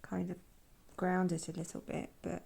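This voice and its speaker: A woman with a croaky voice from a sore throat, softly talking through a painting tutorial in quiet, half-whispered asides. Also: on the low side, little pitch movement, moderate pace. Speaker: art channel host. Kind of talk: soft-spoken painting tutorial.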